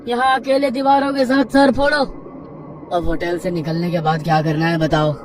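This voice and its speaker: A man voicing a bold, adventurous young male college student in an animated horror story, speaking in an exclamatory, lively manner. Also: sits high, normal speed, recorded from a distance, a little hiss or hum, lightly acted. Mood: eager.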